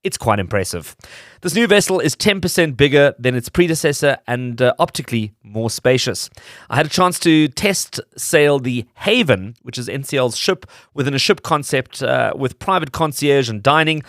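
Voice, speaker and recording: A man's voice: mid-range voice, lively intonation, moderate pace, fairly bright tone, no room sound, clean studio sound. A man hosting a radio show, narrating from a script to his listeners. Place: dry studio.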